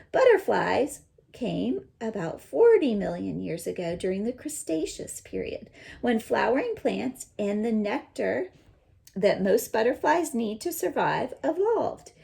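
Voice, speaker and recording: A woman teacher reading a children's picture book aloud and pausing to explain it in simple words. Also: on the high side, vocal fry, a little mellow.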